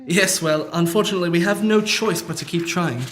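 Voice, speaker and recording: A solemn, formal tutor with an accent, played by a man, polite and earnest. His voice sits high for a man. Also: brisk, slight background noise, lightly acted.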